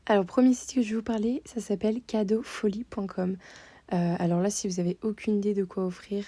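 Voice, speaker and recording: A woman's voice: mid-range voice, fairly even intonation, a little fast, heavy background noise. A young woman giving a casual voice-over of a website, hesitant, with many fillers. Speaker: vlogger doing screen-tour voice-over.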